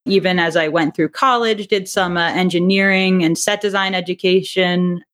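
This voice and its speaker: Lower-pitched woman, rambling casual talker. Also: steady tone, moderate pace, a bit bright. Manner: hesitant, rambling, self-correcting. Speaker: podcast guest, audio drama creator. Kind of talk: casual interview chat.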